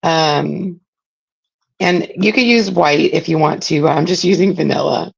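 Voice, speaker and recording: A middle-aged woman, raspy from a spring cold, chatting casually as she hosts a live craft demo and greets viewers by name. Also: deep voice, unhurried, lo-fi sound. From Southern California. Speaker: craft livestream host. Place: home craft room.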